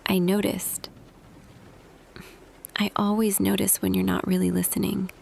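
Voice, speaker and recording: A woman playing a tender, quietly smitten office boss in an intimate ASMR roleplay, speaking softly and deliberately, often half whispering. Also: medium pitch, level tone, gravelly, husky, full character voice. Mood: gently teasing. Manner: slow, hushed, deliberate, pausing. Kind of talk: ASMR roleplay audio, intimate scripted monologue.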